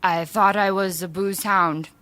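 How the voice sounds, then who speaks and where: A woman's voice: mid-range voice, fairly even intonation, a little slow, bright, forceful tone. An actress playing a very drunk, proudly literal woman official in an audio drama, slurring with careful, over-formal diction. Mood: drunk, stubborn.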